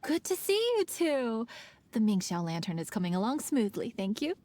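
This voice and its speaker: An actress playing a polite, businesslike young woman who manages a festival project in a video game, explaining things clearly. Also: a little high, big pitch swings, moderate pace, warm tone, no echo.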